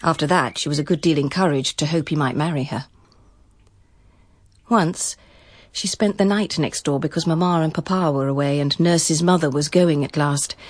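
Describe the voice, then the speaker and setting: A woman's voice: low-pitched, moderate pace, dry recording. A woman with a British accent reading literary, scripted narration for a radio drama.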